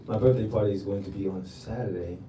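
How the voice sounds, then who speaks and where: An older man from Jamaica, a DJ being interviewed on a livestream, answering in a relaxed way and repeating words for emphasis. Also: fairly low pitch, fast talker. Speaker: DJ guest. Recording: roomy, distant, noisy livestream audio.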